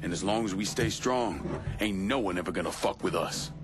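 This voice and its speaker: Deep, commanding Southern crime boss played by a man. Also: fairly quick, loud music behind the voice, lightly acted. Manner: terse, commanding. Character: hard, resolute male Southern crime boss. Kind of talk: dramatic video game acting.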